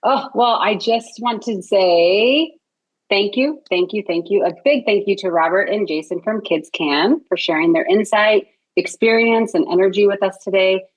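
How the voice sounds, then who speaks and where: A woman's voice: mid-range voice, normal speed, low-quality recording. A woman hosting a podcast interview, upbeat and enthusiastic, laughing often as she chats. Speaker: podcast host.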